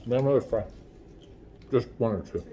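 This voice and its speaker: A man in his forties doing a casual food review at home, chatty and relaxed, filmed on a phone at arm's length while eating. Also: medium pitch, moderate pace, a little hiss or hum. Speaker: food vlogger. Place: small room at home, window blinds. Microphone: phone camera at arm's length.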